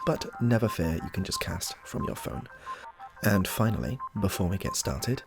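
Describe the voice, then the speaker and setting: A British man speaks casually to his audience in a low voice with plenty of fry. His intonation moves around a lot, and his way of talking is informal and self-deprecating. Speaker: video creator introducing his roleplay video. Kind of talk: casual talk to viewers.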